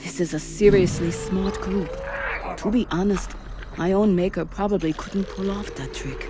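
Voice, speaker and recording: An actor playing a damaged shipboard artificial intelligence, speaking in a measured, precise and dryly witty way in an audio drama. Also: medium pitch, over music, natural voice. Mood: dry, grave. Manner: measured, precise, dry wit. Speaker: ship's AI character. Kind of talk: dramatised science fiction audio story.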